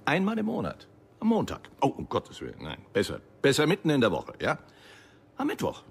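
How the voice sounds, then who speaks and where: A jovial, pushy school director in a radio play, rallying his staff with cheerful speeches. His husky voice swoops wildly between high and low. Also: wildly swooping pitch, brisk, exaggerated voice. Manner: cheerful motivational speeches, leaps up and down in pitch.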